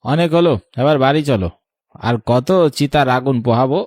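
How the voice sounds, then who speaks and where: A man's voice: mid-range voice, brisk, darkish tone, no echo, studio quality, natural voice. A man voicing a greedy, selfish adult cousin in a cartoon, curt and dismissive. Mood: impatient. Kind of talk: cartoon voice acting.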